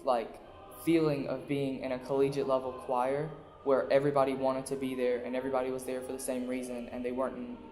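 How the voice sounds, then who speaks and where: Echoey, even-toned young man. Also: medium pitch, brisk. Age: young adult. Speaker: college choir singer. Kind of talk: casual interview recollection. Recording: echoey hall, faint music.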